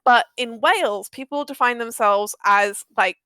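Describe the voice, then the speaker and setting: A woman's voice: on the high side, unhurried, sharp tone, clear, resonant tone, no room sound, studio quality. A woman explaining history casually and with great enthusiasm, emphatic and effusive, happy to swear. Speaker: guest audio drama maker.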